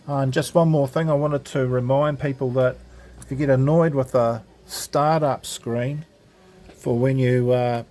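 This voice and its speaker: A man's voice: medium pitch, warm tone, a little hiss or hum. Man giving a casual step-by-step demo. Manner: casual, hesitant, step-by-step explaining. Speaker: presenter of an amateur radio gadget demo. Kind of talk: informal product demonstration, unscripted tutorial.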